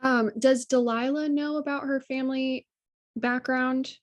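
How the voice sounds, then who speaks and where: A woman's voice: high voice, steady tone, unhurried. A woman chatting casually, politely and a little tentatively asking questions. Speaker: actress at a table read. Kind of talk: casual chat. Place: indoors on a video call.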